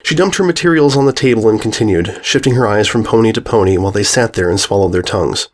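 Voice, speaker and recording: A man's voice: lowish voice, quick pace. A man narrating a scripted story as its reader.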